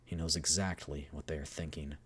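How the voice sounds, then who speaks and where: A man streaming a dramatic read-aloud of a video game story, with measured, expressive storytelling. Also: very deep voice, husky.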